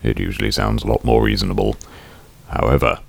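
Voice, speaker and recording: Hoarse man with a gravelly, very deep voice. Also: steady tone, a little fast, no echo. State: hoarse, lost voice. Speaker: podcast co-host. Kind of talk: casual chat.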